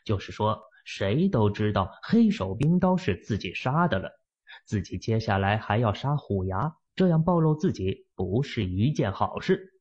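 Male audiobook narrator, husky low voice, lively pitch, dull lo-fi recording. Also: moderate pace. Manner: expressive storytelling with lively pitch swings. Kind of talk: dramatic novel narration.

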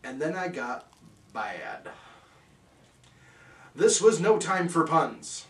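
A man reading a rhyming parody bedtime story aloud in a theatrical, measured way. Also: a little high, sing-song, fairly bright tone. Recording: roomy, somewhat distant microphone.

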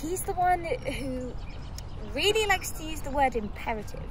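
Actress as high-pitched chatty young British woman. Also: lively intonation, moderate pace. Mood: gossipy. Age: young adult. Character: chatty young woman gossiping, impatient girlfriend. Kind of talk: naturalistic audio drama dialogue. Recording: outdoor ambient noise.

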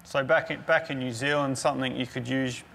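Young male chef, gravelly tone, calm step-by-step explainer, faint kitchen ambience. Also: medium pitch, a little fast, slight background noise. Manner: explanatory, walks through each step. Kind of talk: cooking demonstration. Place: indoor kitchen.

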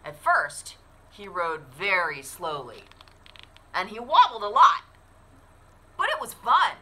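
A woman in her forties reading a picture book aloud to children in an expressive, deliberate storytelling way. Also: medium pitch. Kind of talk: animated children's read-aloud.

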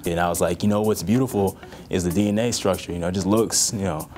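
A man's voice: low voice, steady tone, fast talker, darkish tone, soft background music. A young man in his twenties, a medical student, talking casually in a documentary interview with lots of "you know". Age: 20s.

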